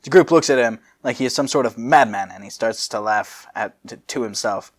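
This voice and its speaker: A man's voice: medium pitch, fairly quick. A man hosting a casual comedic story reading in a jokey, informal manner. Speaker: fan fiction reader and host.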